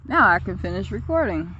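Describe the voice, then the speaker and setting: A woman in her late thirties chatting casually to viewers in a vlog, laughing often, recorded outdoors on her phone in a quiet park. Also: medium pitch, big pitch swings, normal speed, muffled recording, a little hiss or hum. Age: 30s. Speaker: vlogger.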